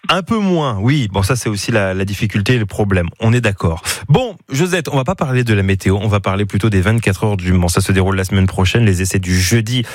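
A man's voice: lowish voice, lively intonation, quick pace, few pauses, no room sound. Teasing male radio host, dry sound. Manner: jokey and teasing, with many hesitations. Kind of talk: live radio chat with a caller.

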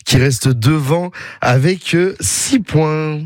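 A man's voice: a little high, slow pace. A man presenting a live radio game casually, giving recaps as host. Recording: faint music behind the voice.

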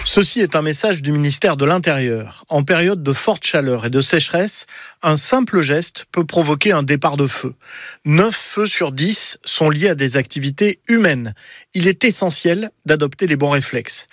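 A man's voice: fairly high pitch, no echo, clean studio sound. Formal male public announcement voice. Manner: measured and formal. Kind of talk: scripted official announcement.

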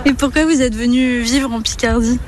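A woman's voice: on the high side, fairly even intonation, moderate pace, background noise. A woman interviewing someone casually as a radio reporter.

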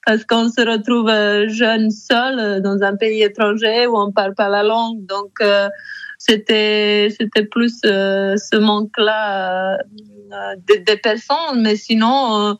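Montenegrin accent woman in her 30s, halting radio interview, dry dull sound. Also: medium pitch, fairly even intonation, dry recording. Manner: hesitant, many fillers and restarts. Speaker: radio guest, handball player.

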